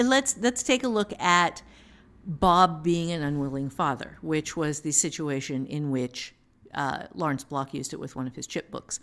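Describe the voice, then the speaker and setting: A woman with a slightly low voice talks as a writing teacher on a podcast. She explains in a casual way, going step by step and leaning on examples. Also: fairly bright tone.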